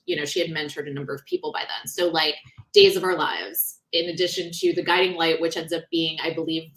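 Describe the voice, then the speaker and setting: A woman author being interviewed in a casual book discussion, talking in an animated, conversational way and often breaking off mid-thought. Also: low-pitched, low-quality recording.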